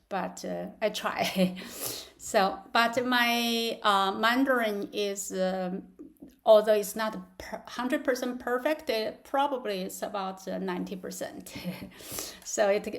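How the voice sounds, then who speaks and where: A woman teaching a live online class, speaking haltingly with many fillers and drilling syllables aloud. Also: medium pitch. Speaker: language teacher. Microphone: video call.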